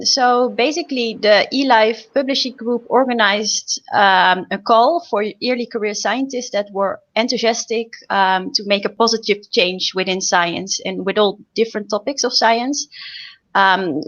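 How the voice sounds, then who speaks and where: A young woman speaking as a guest researcher on an online panel, explaining informally with a halting delivery. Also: medium pitch, fairly even intonation, a bit bright. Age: young adult. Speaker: guest, PhD student researcher. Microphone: video call. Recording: dull, lo-fi video call audio.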